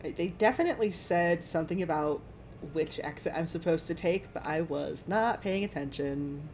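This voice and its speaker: A woman's voice: fairly low pitch, moderate pace, darkish tone, slight background noise. A woman, chatting casually with a friend while playing a game. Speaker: guest friend on game stream. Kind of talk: casual chat while gaming.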